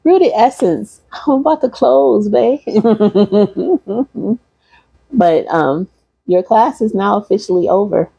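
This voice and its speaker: A woman's voice: mid-range voice, lively intonation, takes it slowly, creaky, mellow, breathy edge, dry recording, highs cut off. A woman from Michigan, a holistic practitioner, wrapping up her livestream class in a rambling, repeating way and talking to viewers. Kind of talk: casual livestream class wrap-up.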